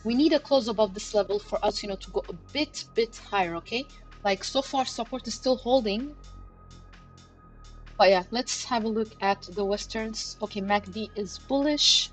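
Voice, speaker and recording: A woman's voice: medium pitch, normal speed, low-quality recording. A woman hosting a live market analysis stream, talking casually to her chat with lots of fillers like "you know" and "okay". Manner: chatty, many fillers, addresses chat directly. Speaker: crypto chart analysis livestream host. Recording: livestream with faint background music. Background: faint background music.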